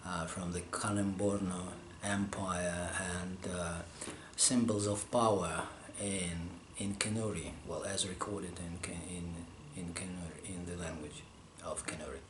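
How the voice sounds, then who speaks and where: A man from Russia, a scholar answering interview questions, pausing often as he searches for his words. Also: deep voice, fairly even intonation. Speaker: scholar interviewed about manuscript studies. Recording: roomy echo, faint background hum.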